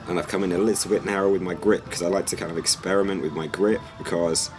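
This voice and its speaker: Hoarse very deep-voiced man. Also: fast, music in the background. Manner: explanatory, relaxed, slightly rambling. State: hoarse, losing his voice. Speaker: fitness vlogger. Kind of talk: casual vlog narration.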